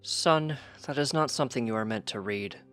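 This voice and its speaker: A man voicing an excitable, protective father pony in a storybook, with a blustery, emphatic manner. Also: medium pitch, normal speed, soft background music, voice pushed up, lightly acted. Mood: gently firm.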